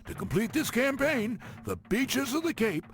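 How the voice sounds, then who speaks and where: High-voiced, swooping folksy railroad narrator over music. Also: male voice, big pitch swings. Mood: wry, ominous. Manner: folksy, wry, dramatic, drawn-out emphasis. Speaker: game cutscene narrator. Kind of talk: theatrical scripted mission briefing narration.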